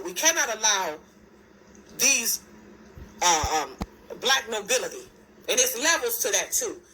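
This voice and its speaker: Woman, emphatic, confrontational, repetitive, bright voice, faint background noise. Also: medium pitch, poor audio. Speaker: commentator. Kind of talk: impassioned video rant.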